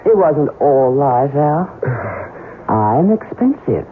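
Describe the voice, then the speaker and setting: A woman's voice: sits low, big pitch swings, slow pace, full character voice. A woman playing a scheming, cold femme fatale wife in a radio drama, with a theatrical, knowing delivery. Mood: coolly mocking. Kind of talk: 1970s radio drama acting. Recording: tinny, noisy old radio broadcast.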